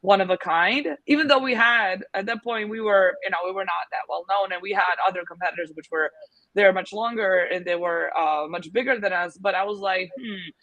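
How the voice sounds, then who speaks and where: Frau mit serbischem Akzent, hell. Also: female voice, mid-range voice, a little fast, a bit bright, no echo, lo-fi sound. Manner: many fillers, thinks aloud. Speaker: agency founder, guest. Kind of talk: casual interview talk.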